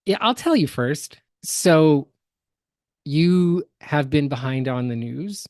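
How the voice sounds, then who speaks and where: A man co-hosting a podcast, explaining things in a casual commentary style with halting, emphatic phrasing. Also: a little high, expressive, darkish tone, no room sound, studio quality. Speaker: podcast co-host.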